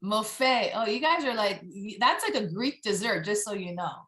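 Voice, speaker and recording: A woman's voice: medium pitch, animated, moderate pace, a bit bright, reverberant. A woman moderating a panel discussion, upbeat and chatty with jokey asides.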